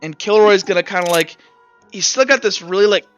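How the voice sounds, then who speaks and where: Bright-voiced young game master. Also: male voice, high-pitched, fairly quick, clear, resonant tone. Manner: rambling, lots of "like" and "kinda". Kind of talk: narrating a tabletop game. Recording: dry muffled mic with faint music.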